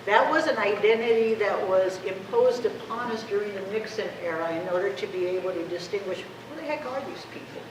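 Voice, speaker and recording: A woman's voice: mid-range voice, a little fast, hardly any pauses, distant mic. A woman in her fifties, a Spanish speaker, gives an impassioned, conversational public talk as an activist, often posing rhetorical questions. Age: 50s. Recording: echoey room from afar, clear noise.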